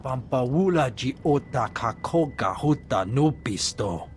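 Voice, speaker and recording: A man's voice: mid-range voice, animated, measured pace, soft background music, lightly acted. A man voicing an alien character in a video game, speaking in an invented alien tongue. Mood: matter-of-fact.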